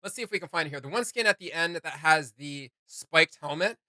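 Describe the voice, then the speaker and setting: A man's voice: on the high side, lively intonation, fairly quick, pushed and bright, dry recording, studio quality. A man chatting casually as co-host of a reaction video, rambling and often searching for words.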